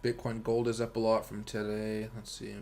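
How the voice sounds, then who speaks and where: A man's voice: fairly low pitch, completely flat delivery, normal speed, creaky, darkish tone. An American man hosting a livestream with casual, rambling chat and commentary, speaking informally and directly to his viewers. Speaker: livestream host and crypto trader.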